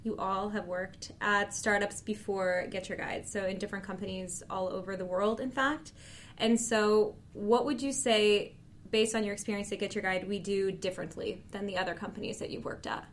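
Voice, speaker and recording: A woman hosting a podcast interview, asking questions in a friendly, steady way. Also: mid-range voice, moderate pace, hardly any pauses, slight background noise. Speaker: podcast host.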